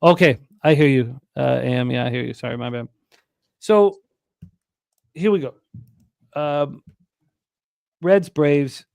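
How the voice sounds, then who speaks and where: A man hosting a sports betting talk show, running through odds, weather and pitcher stats with plenty of fillers. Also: slow, vocal fry, pauses often, no room sound, studio quality. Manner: reads out odds and stats in a steady run, with fillers.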